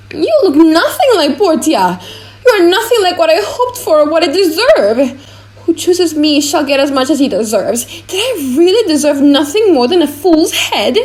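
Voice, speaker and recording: Woman playing a vain, pompous, high-voiced prince. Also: darkish tone. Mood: offended disbelief. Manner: pompous, theatrical, musing aloud. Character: vain, pompous foreign prince weighing his choice aloud. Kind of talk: dramatic audiobook acting.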